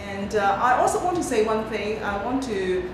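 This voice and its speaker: A woman's voice: medium pitch, animated, normal speed, noisy background. Woman in a very echoey, distant recording. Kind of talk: interview answers.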